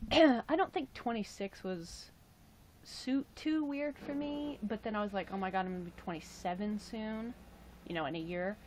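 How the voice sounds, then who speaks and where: Late-twenties woman livestreamer, chatty and jokey, mid-pitched, faint room hum behind. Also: moderate pace, a little mellow, low-quality recording. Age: 20s. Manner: chatty, jokey, with playful high exclamations. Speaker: livestream host. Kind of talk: casual livestream chat reading viewer comments.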